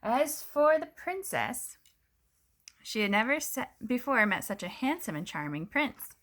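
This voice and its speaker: A woman reading a children's picture book aloud, in expressive narration with dramatic emphasis on descriptive words. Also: on the high side, a little slow.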